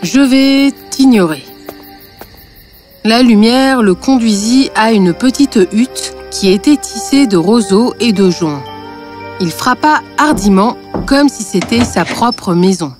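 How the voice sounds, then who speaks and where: A woman narrating a scripted fairy tale, with a deadpan streak. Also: fairly high pitch, takes it slowly, soft background music.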